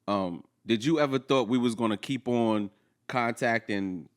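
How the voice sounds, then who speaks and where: A man's voice: medium pitch, measured pace. A man speaking as a guest in a casual podcast chat, laid-back and easygoing, swearing freely.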